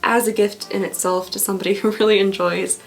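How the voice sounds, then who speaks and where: A woman hosting a casual vlog, chatty and rambling with frequent giggles, her voice going hoarse from talking. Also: mid-range voice, fairly even intonation, brisk, faint music behind, rough recording. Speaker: vlogger host. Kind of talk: casual unboxing review.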